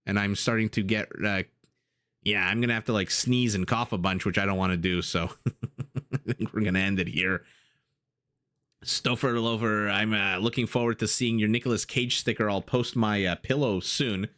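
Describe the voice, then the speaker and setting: A man giving excitable live commentary on a strategy game, his voice raspy and his throat dry, with fillers and laughs. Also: fairly low pitch, normal speed. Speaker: game caster. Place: home room.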